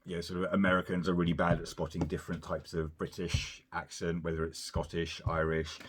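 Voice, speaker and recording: A man with a fairly low voice talking through a film in relaxed podcast chat. He wanders through his points, hedging often and circling back before landing his argument. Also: brisk, breathy edge. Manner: rambling, hedging with "you know" and "sort of". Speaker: podcast co-host. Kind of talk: casual film review chat.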